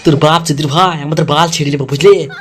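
A man's voice: on the high side, steady tone, quick pace. A man voicing a foul-mouthed, vengeful gang schemer in a comedy cartoon, with crude, threatening delivery. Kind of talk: comedy cartoon character dialogue. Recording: music behind the voice.

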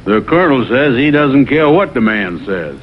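A man voicing a plain-spoken, slow-witted bear sidekick in a 1960s cartoon sitcom. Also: medium pitch, expressive, a little hiss or hum, natural voice. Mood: earnest. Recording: dull vintage TV audio with music.

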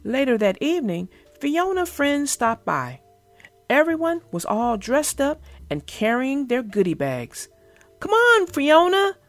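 A woman narrating a storybook for children in an animated, expressive way. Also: on the high side, measured pace, no room sound, faint music behind. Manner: expressive, addressing listeners directly. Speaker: children's read-aloud narrator.

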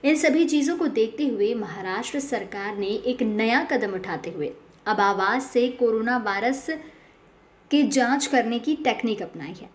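News presenter, female, slightly high voice, reading scripted narration. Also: measured pace.

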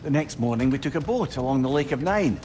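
Guy playing chatty wry game sidekick, sentimental storyteller, really animated voice, noisy background. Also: medium pitch, quick pace, lightly acted. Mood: wistful. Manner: storytelling, wordy, teasing. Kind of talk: video game voice acting. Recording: loud game ambience behind.

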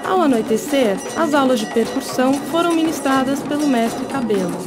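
A woman's voice: sits high, expressive, normal speed. Woman narrating over music and crowd. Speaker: documentary narrator.

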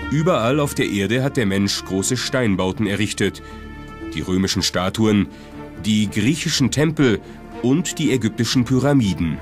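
Male narrator for documentary, lower register, scripted read over a prominent music bed. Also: lively intonation, normal speed.

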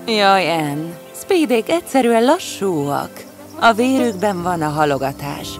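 A woman voicing young female fairy tale characters, expressive and earnest. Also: on the low side. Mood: earnest, exasperated. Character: women in a fairy tale: eager schemer, resigned friend. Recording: faint music under the voice.

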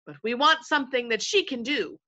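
An invisible servant chief from a storybook, acted out by a woman, full of folksy self-importance. The delivery rambles and digresses, with a very animated pitch and a bright edge. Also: brisk. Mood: rambling, confiding.

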